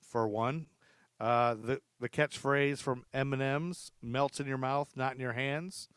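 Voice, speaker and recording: A man's voice: mid-range voice, steady tone, measured pace, fairly bright tone, clear, resonant tone, no room sound, clean studio sound. Chatty man hosting a podcast. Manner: chatty, laughs often, rambling asides. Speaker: podcast host. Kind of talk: casual podcast chat. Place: lives in Charlotte.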